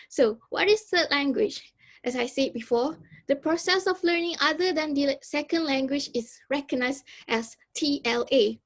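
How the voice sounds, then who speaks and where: A young woman with a Malaysian accent presents her research project as a student. Her voice sits high, and she talks with frequent fillers and self-corrections. Her first language is Malay. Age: young adult. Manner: hesitant, many fillers and restarts. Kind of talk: academic presentation.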